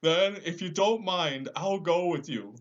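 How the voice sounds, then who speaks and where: A man's voice: high-pitched, measured pace, muffled recording, voice pushed up. A man voicing a big cowardly lion in a storybook, sad, timid and self-pitying, with a plaintive, theatrical delivery. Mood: anxious, hopeful. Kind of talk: storybook character voice.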